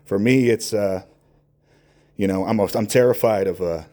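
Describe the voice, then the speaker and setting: A man talking candidly and off the cuff in a reflective, earnest monologue, halting as he searches for words and swearing casually. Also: fairly low pitch, mellow. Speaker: podcast host.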